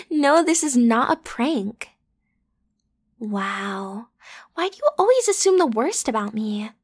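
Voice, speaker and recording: A woman's voice: a little high, big pitch swings, slow pace, long pauses, full character voice. A woman playing a popular, breezy, pushy teenage schoolgirl in an ASMR roleplay, talking casually and teasingly as if to one listener. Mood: playful. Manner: playful, teasing, casual youthful phrasing with giggles. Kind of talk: ASMR roleplay, one-sided conversational acting.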